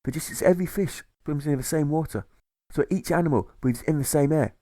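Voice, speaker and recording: A man's voice: fairly high pitch, brisk, warm tone, dry recording, pitched above the performer's own voice, natural voice. A man voicing an earnest female dog who searches for peace in a storybook fable, declaiming her lines. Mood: elated revelation.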